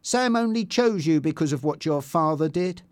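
A man acts a guarded young woman in an audiobook story. The voice is lifted high and moves in a lively way, earnest and defensive with a jealous streak. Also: normal speed, clear, resonant tone, no room sound, clean studio sound, natural voice. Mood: insistent.

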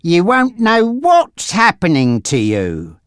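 A narrator voicing a menacing, taunting male gangster boss in an audiobook story, with a threatening, drawn-out delivery. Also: medium pitch, huge pitch swings, smooth, dry recording, studio quality, pitched above the performer's own voice. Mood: taunting menace.

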